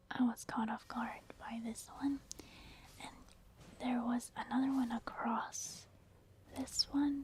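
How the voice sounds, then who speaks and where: A woman giving soft-spoken, informal ASMR commentary over her own video game play, explaining it gently as she goes. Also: fairly high pitch. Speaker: video game streamer narrating own gameplay.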